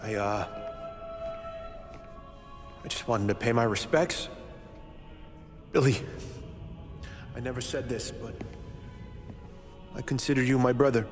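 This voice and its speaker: An actor playing a loyal, hot-tempered gangster in grief, with rough street talk, in a dramatic video game. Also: male voice, mid-range voice, slow, long pauses, natural voice. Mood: grieving, halting. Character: grieving young male gangster, loyal, hot-tempered, vengeful. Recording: echoing church, music behind.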